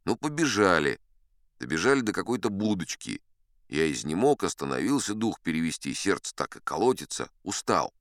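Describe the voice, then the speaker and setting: A man's voice: low-pitched, big pitch swings, normal speed, no echo, clean studio sound. A man playing an older sea captain who spins a yarn in a dramatized audiobook, wry, boastful and conversational. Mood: wry, rueful. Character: old sea captain storyteller, folksy, boastful, dignified.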